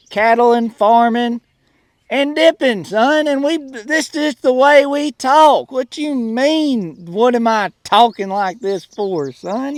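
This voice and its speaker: A man's voice: extremely high pitch, dry recording. A man playing a boastful, folksy country cowboy in a comedy skit, with a drawling American Southern accent. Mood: proud, defensive. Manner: drawling, folksy, bragging, calls listener son.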